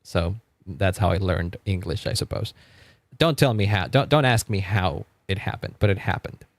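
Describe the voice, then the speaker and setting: A man's voice: low voice, moderate pace, no room sound. A man from Argentina, a Spanish speaker, chatting casually on a live stream. He rambles, repeats words as he stammers, and laughs often. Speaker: music streamer.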